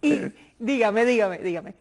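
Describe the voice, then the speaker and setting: A woman's voice: on the high side, animated, measured pace, darkish tone. A woman hosting a political interview in a conversational broadcast style, engaged and prompting her guest. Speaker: interview host.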